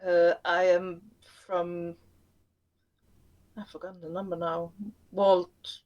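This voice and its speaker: A woman's voice: on the low side, level tone, slow, a little mellow, highs cut off. A woman playing a tabletop game, speaking unsurely and trailing off. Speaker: player.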